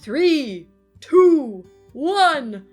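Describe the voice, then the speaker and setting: A woman's voice: high voice, sing-song, extremely slow, darkish tone, faint music behind, voice pushed up, full character voice. A woman voicing a brave little male teddy bear space captain, declaring commands with determination in a children's storybook. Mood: bold, urgent.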